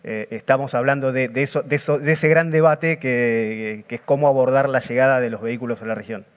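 A man's voice: medium pitch, steady tone, a little fast, clear, resonant tone, highs cut off. A man, hosting a panel and explaining the topic, with some hesitant fillers. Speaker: interview host. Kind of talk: moderating a panel. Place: studio set.

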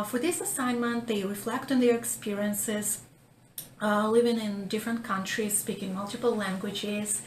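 A woman professor talks through her courses in careful, academic phrasing, pausing on fillers. Her voice sits at a middle pitch. The small office adds an echo and a faint hiss. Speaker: ESL professor. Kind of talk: interview explanation.

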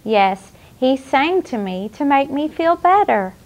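A woman playing a sweet, nurturing clown who hosts a children's show, with a gentle, encouraging manner. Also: sits high, big pitch swings, a little slow, muffled recording. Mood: gentle, caring. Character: sweet, nurturing young female clown hostess.

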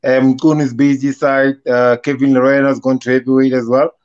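A young man from South Africa, a boxer guest answering interview questions with many pauses. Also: mid-range voice, level tone, darkish tone, smooth. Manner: hesitant, many uh fillers. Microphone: video call. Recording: lo-fi video call audio.

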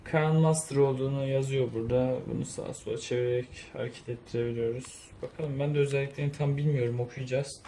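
A man's voice: mid-range voice, steady tone, quick pace, few pauses, mellow. A man giving a casual unboxing review, thinking aloud in an informal way. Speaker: product reviewer. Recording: roomy echo, faint background noise.

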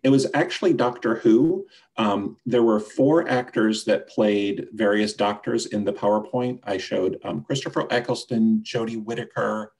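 A man with a gravelly voice presenting a product demonstration and answering questions on a video call. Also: fairly low pitch, a little mellow, poor audio. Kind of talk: webinar demonstration and Q&A. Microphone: video call microphone.

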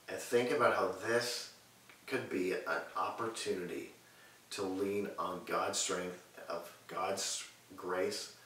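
A man in his thirties preaching and praying earnestly from a sofa in a living room, measured and repetitive in his phrasing. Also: lowish voice, a bit bright, recorded from a distance. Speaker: pastor. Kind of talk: sermon and prayer. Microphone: far camera mic. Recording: echoey room audio with faint hiss.

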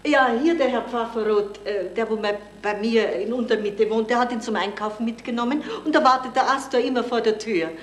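A woman's voice: on the high side, brisk, few pauses, natural voice. A woman acting an indignant, fussy dog owner and landlady in a 1970s radio play. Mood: agitated. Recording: roomy, slightly hissy vintage radio recording.

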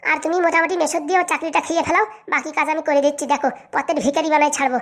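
A woman's voice: sits high, steady tone, racing, fairly bright tone. A woman playing a cocky, scheming young woman in a comic cartoon, brash and boastful. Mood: boastful, scornful.

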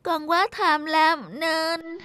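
A woman voicing a naive, eager little peasant boy in a children's cartoon, earnest and expressive. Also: extremely high pitch, drawn-out words, no room sound, exaggerated voice. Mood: contrite.

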